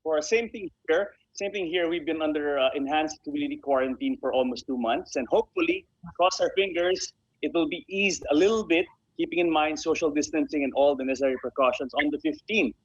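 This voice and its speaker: Man, slightly high husky voice, polite interview guest, dull lo-fi call audio. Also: brisk, hardly any pauses, no echo. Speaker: guest, bobsled team president. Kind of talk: conversational interview answers. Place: remote call from Manila. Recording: muffled, lo-fi online call.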